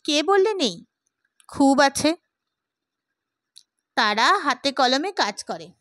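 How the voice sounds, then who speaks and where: A woman reading as an idealistic, opinionated gentleman in an audiobook, with an oratorical, emphatic manner. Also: high-pitched, no room sound, clean studio sound. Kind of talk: dramatised audiobook character.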